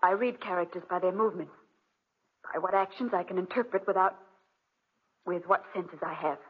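Tormented proud young blind woman, husky, defensive, muffled old radio drama recording. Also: on the low side, normal speed. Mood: wary, proud. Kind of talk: 1940s radio acting.

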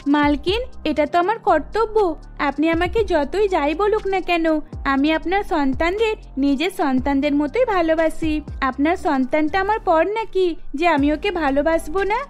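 A woman voicing a humble, devoted maid in a cartoon story, gentle and deferential. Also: very high voice, normal speed, voice pushed down. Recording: music behind the voice.

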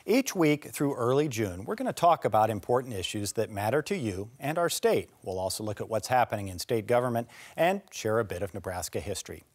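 A middle-aged man hosting a public TV discussion program. He reads scripted introductions and asks the guests questions in a measured, clear way. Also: lowish voice, expressive. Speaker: TV news host. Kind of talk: scripted presenting and interview questions. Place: TV studio set. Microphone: lapel.

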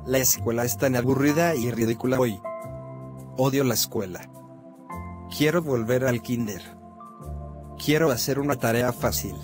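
A man voicing a bratty bald cartoon boy who mocks grown-ups, with a flat, sneering delivery over music. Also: medium pitch, moderate pace, pauses often, close to an everyday voice. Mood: smug. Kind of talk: fan-made cartoon dub.

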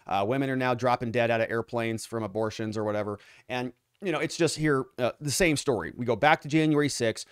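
A man's voice: mid-range voice, brisk, few pauses, smooth, no echo. A man in his thirties hosting casual legal commentary, reacting sarcastically to news clips from his home studio. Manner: sarcastic, animated, interrupts clips. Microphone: studio mic on boom, close.

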